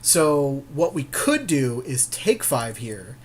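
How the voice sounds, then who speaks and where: A man's voice: medium pitch, very animated, slow. A man co-hosting a game podcast, chatting casually and playfully about his moves, with jokes and swearing. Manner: playful, jokey, profane. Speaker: podcast co-host playing a card game draft. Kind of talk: casual game commentary between friends.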